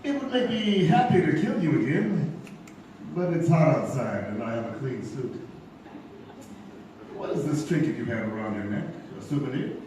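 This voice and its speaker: An actor playing a suave, menacing gambler and killer with florid, mock-courteous speech in a live stage radio play. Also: male voice, medium pitch, lively intonation, normal speed, natural voice. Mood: cold menace. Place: theater stage before an audience. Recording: echoey theater, distant mic, background noise.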